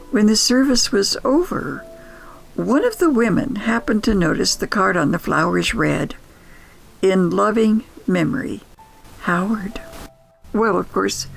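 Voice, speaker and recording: An older woman with a strongly gravelly, creaky voice tells personal memories slowly. Her delivery is reflective and nostalgic, like scripted storytelling, with faint music behind her. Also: mid-range voice, rough recording. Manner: reflective, unhurried. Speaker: podcast storyteller.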